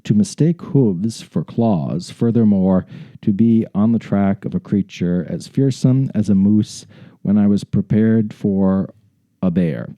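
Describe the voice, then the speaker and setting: The fellow at a podcast table with the book open, a deep, unhurried-sounding dark tone in a dry room, reading out loud and tossing in crude banter. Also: male voice, no echo. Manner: irreverent, profane asides. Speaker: podcast co-host reading a story aloud. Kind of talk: reading aloud mixed with casual banter.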